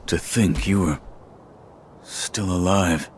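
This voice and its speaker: Low-voiced stoic shinobi warrior. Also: male voice, steady tone, slow pace, noisy background. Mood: restrained disbelief. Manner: terse, restrained, solemn. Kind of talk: dramatic video game acting. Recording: loud music underneath.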